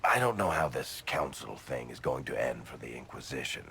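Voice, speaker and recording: An actor playing a middle-aged roguish dwarf in a video game, wry and offhand with a gravelly voice. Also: male voice, rumbling low, expressive, normal speed, fairly bright tone, slight background noise, heavily acted. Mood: casual, sincere. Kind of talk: video game dialogue acting.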